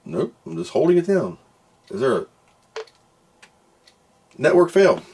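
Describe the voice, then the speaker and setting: A man's voice: a little high, big pitch swings, measured pace, noisy background. A man doing casual hands-on product commentary, muttering to himself as he works and reading out screens. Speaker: product reviewer doing an unboxing and test.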